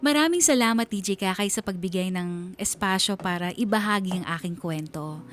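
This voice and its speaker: Actress as reflective Filipino woman narrator, soft music. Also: medium pitch, normal speed, close to an everyday voice. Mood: earnest, hopeful. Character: reflective woman letter sender narrating her painful, hopeful life story. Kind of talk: scripted dramatic narration.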